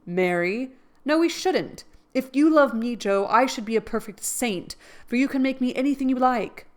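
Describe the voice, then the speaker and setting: A woman's voice: high voice, pitched above the performer's own voice, natural voice. Woman doing a heartbroken young man, pleading hard. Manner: intense, pleading, loud and slow.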